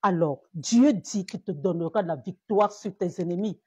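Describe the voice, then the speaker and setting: A woman's voice: fairly low pitch, normal speed, darkish tone, breathy edge, no room sound. A woman pastor from Cameroon preaching in a live-streamed sermon, repeating words and rising to emphatic peaks.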